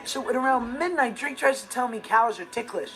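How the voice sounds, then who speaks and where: A man's voice: very high-pitched, a little fast, very noisy. A young American man playing an earnest, put-upon teenage boy in a sitcom monologue, complaining emphatically and indignantly. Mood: exasperated. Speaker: sitcom actor.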